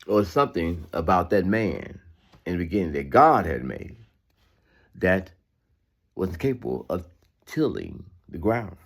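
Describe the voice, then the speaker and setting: Very deep-voiced man reading and expounding scripture. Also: sing-song, measured pace, long pauses. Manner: emphatic, repeats phrases for emphasis; conversational asides seeking agreement. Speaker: Bible reader giving commentary. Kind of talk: scripture reading with informal sermon remarks.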